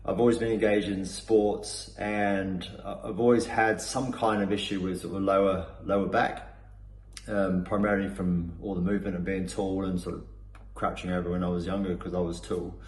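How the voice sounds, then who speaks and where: A man's voice: low-pitched, little pitch movement, normal speed, darkish tone. A man from Cornwall with a Cornish accent, giving an unscripted customer testimonial, hesitant with many fillers and restarts. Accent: Cornish English. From Cornwall, England. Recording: echoey room with faint background noise.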